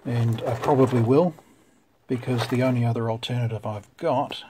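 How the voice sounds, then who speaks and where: A man's voice: medium pitch, fairly even intonation, normal speed. New Zealand man, noisy workbench recording. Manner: conversational, thinking aloud. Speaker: vintage radio repairer presenting. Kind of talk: casual explanatory workbench talk. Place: indoors at a workbench. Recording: clear background noise with clicks.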